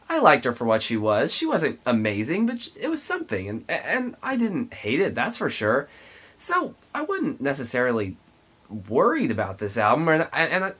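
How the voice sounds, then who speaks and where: A man hosts a spoken music review in a stuffy, nasal voice. His pitch is middling but moves around in a very lively way. He talks emphatically and states his opinions plainly. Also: breathy edge. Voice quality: congested, nasal. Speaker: music reviewer, show host. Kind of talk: spoken album review to camera.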